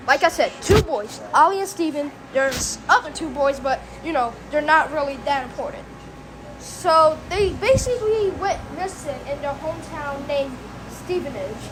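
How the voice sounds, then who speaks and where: A woman's voice: high-pitched, background noise. A woman giving a casual, rambling recap as host of a reaction video, in informal, slangy language.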